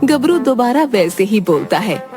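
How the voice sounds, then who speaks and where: A woman's voice: a little high, sing-song, a little slow, a little mellow. A woman narrating a scripted children's story as the storyteller. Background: background music.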